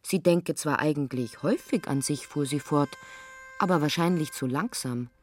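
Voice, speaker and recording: Young woman played by an actress, low-pitched and sober, narrating her own thoughts in radio drama, music underneath. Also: normal speed, close to an everyday voice. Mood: hesitant, pensive. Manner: sober, matter-of-fact. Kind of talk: radio play with third-person narration.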